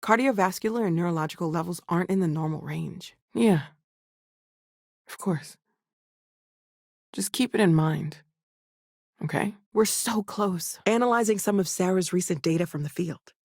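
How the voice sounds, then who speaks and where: A woman's voice: lowish voice, normal speed, pauses often, a little mellow, no room sound. Brainy lady scientist for a video game, earnest n a bit anxious, dry studio sound. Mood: subdued. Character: earnest, brainy young woman scientist, eager but anxious.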